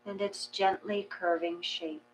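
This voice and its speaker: A woman reading a guided meditation in a gentle, measured, calming way. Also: fairly low pitch, poor audio. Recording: clear mains hum.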